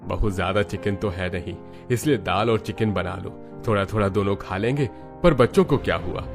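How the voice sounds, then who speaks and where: A man's voice: on the low side, a little fast, natural voice. A man playing a good-natured father of a poor family in a cartoon story. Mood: concerned. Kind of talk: cartoon dialogue acting. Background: background music.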